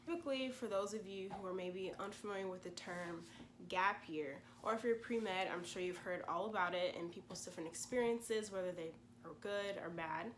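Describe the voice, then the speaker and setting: A woman's voice: mid-range voice, moderate pace, a little hiss or hum. A young woman from Louisiana, chatting through a vlog while congested from a cold, with lots of "you know" asides. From Louisiana, USA. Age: 20s. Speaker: vlogger and pre-med gap-year student. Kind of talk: casual explanatory vlog talk.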